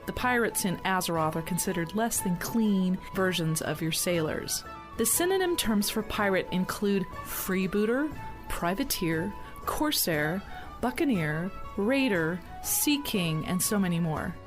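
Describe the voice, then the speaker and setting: A hearty pirate captain, played by a woman hosts a podcast, reading scripted narration with pirate slang. The voice is medium in pitch, with clear music underneath. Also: animated, unhurried, close to an everyday voice. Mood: hearty, informative. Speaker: podcast host.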